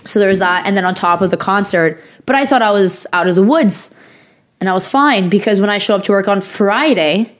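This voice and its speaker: Young woman co-host, raspy and sniffly from a lingering cold, chatty, rambling delivery full of "like.". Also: medium pitch, fairly quick. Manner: rambling storytelling with many fillers. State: hoarse, recovering from lost voice; sniffly from sinus infection. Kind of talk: casual chat.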